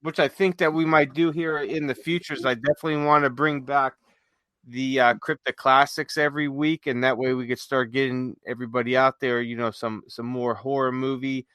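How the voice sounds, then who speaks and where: A man's voice: medium pitch, flat delivery, normal speed, a bit bright, smooth, dry recording. A man speaking casually as a podcast co-host, with an easygoing, informal manner.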